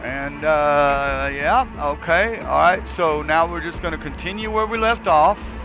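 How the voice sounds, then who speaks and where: A man's voice: on the high side, unhurried, music in the background, rough recording. A man giving a casual, unscripted video game tutorial, explaining step by step in a rambling way. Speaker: gamer giving a mod tutorial.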